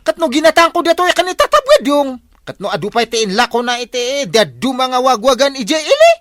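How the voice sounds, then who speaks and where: A woman's voice: sits high, sing-song, a little fast, no room sound. A protesting young accused man. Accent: Ilocano. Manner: defensive, protesting.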